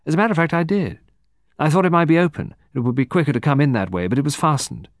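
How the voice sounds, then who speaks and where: A man doing a sharp, defiant young woman. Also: on the high side, fast talker, dry recording, clean studio sound, pitched above the performer's own voice, lightly acted. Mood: defensive.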